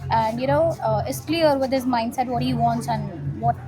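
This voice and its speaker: A twenty-four-year-old woman answering a street interview outdoors, thoughtful and measured. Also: on the high side. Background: background music.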